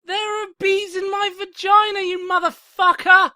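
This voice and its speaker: Casting: woman as indignant cartoon pony princess, shrill and bright, exclamatory, dry close recording. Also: little pitch movement, unhurried, no room sound, heavily acted. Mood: screaming panic. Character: indignant female purple pony princess, easily exasperated. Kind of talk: cartoon fan-fiction voice acting.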